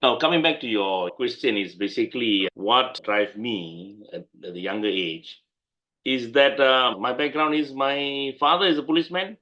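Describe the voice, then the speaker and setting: A middle-aged Malaysian man speaks in an emphatic, conversational manner and repeats his key points. He has an ordinary-pitched voice, and the recording sounds a bit dull and muffled. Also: normal speed, bright, forceful tone. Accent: Malaysian English. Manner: emphatic, repeats points, laughs while recalling. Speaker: guest lawyer. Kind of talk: conversational interview answers.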